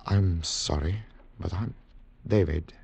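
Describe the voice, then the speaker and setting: An actor playing a wary, courteous confidential agent who narrates in a measured, grave way in an old radio drama. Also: male voice, deep voice, gravelly, a little mellow, exaggerated voice. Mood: guarded, courteous. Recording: noisy vintage radio drama recording.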